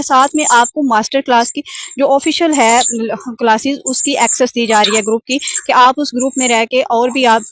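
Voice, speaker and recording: A woman's voice: a little high, no echo. A woman explaining an offer as a sales coach on a call, wordy and instructive, mixing in many English terms. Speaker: sales coach pitching an online training course.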